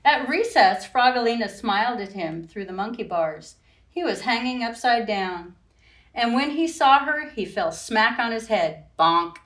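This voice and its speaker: A woman's voice: on the high side, animated, a little slow, fairly bright tone. A woman, a children's librarian, hosting storytime and reading aloud expressively to young children, with sing-song rhymes. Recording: roomy echo, distant from the mic.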